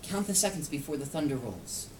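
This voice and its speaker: A man's voice: a little high, sing-song, brisk, a little mellow. A man reading a picture book aloud to a young child, explaining and asking simple questions as he goes. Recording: distant mic, clear background noise.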